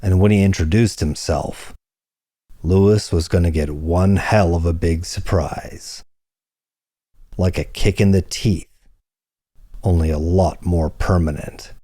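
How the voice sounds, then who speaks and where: Low, slow-paced male crime narrator. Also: steady tone, mellow, no room sound, studio quality. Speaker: audiobook narrator. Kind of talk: scripted narration of hardboiled crime fiction.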